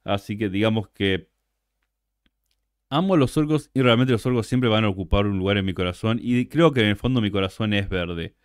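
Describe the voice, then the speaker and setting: Young Argentine man, interview guest; low pitch, lively and casual delivery. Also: brisk, clear, resonant tone, no room sound, studio quality. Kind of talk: casual livestream chat.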